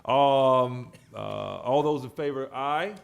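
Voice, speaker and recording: A man presiding as chair over a public board meeting, speaking in a halting way with repeated words and restarts. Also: medium pitch, gravelly. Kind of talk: formal but informal-toned meeting remarks.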